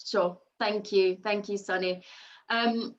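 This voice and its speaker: A woman's voice: mid-range voice, steady tone, slow, muffled recording. A woman hosting a school information session on a video call, polite and upbeat, with frequent ums and thank-yous. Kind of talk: friendly moderating on a video call.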